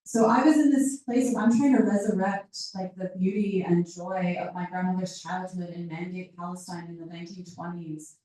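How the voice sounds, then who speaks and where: A woman's voice: on the low side, fairly even intonation, normal speed, hardly any pauses, dark tone. A woman author talking at a live book event, reflective and searching for words as she answers. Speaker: author in conversation. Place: event room with audience. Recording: roomy hall picked up from far off.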